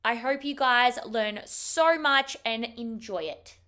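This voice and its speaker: An Australian woman hosting a health podcast, enthusiastic and gushing, her voice a bit croaky from an early start. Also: fairly high pitch, slow, sharp tone. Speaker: podcast host, health teacher. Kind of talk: upbeat podcast interview.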